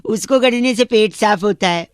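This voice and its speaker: A man's voice: high voice, moderate pace, close to an everyday voice. A man voicing a cheeky, naive boy prank caller who pesters in a mock-polite, rambling way on a comedy radio show. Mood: earnest explaining. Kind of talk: comic prank call patter.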